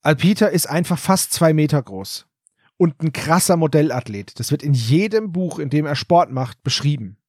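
A man's voice: a little high, lively intonation, normal speed, a little mellow, slightly rough, no room sound, clean studio sound. A man co-hosting a podcast, chatting casually and animatedly about an audio drama. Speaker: podcast host.

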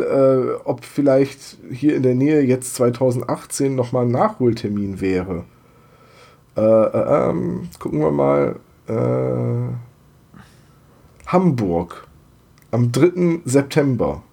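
A man's voice: medium pitch, animated, takes it slowly, creaky, dark tone, husky. A man from Bremen with a Bremen accent, chatting casually as a podcast host and telling stories with many hesitations. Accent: Bremen German. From Bremen, Germany. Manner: chatty, storytelling, frequent hesitations. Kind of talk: casual chat.

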